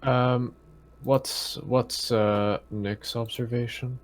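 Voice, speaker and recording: A man's voice: mid-range voice, flat delivery, extremely slow. A man chatting casually as a player in a tabletop role-playing game.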